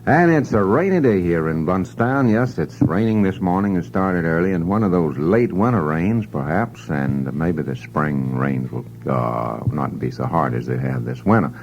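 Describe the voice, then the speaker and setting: A man reading morning community announcements on a 1970 local radio show, in a folksy, unhurried manner. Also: sits low, expressive, darkish tone, slight background noise. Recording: dull lo-fi archival radio recording.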